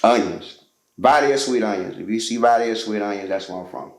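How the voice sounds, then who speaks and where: Laid-back man, low and echoey. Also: fairly quick. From Georgia, US. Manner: relaxed storytelling, loose slangy talk with many fillers. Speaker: interview guest, musician and producer. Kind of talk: casual interview.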